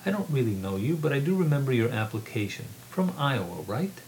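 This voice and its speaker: A man voicing a calm, firm male professor who questions a student in a reading of his novel. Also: mid-range voice, a little hiss or hum, pitched above the performer's own voice, poor audio. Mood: firm coaxing. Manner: measured, firm, coaxing.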